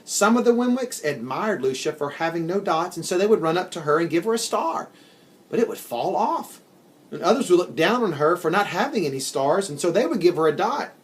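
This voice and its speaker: A man reading a children's story aloud in an expressive, deliberate storytelling manner. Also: sits high. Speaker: story reader. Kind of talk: scripted children's storybook narration.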